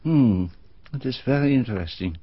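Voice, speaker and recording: A courteous older detective. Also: male voice, medium pitch, expressive, normal speed, husky, dry recording. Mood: probing. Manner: polite, probing, formal phrasing. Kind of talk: radio drama acting. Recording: dull lo-fi radio drama recording.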